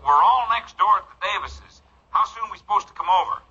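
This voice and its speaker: Chummy american neighbor on the phone, high husky voice, very sharp, old radio show. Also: male voice, lively intonation, a little fast, exaggerated voice. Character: chummy male neighbor pal on the telephone. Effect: telephone filter. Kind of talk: 1950s radio sitcom acting. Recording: thin, muffled old radio phone effect.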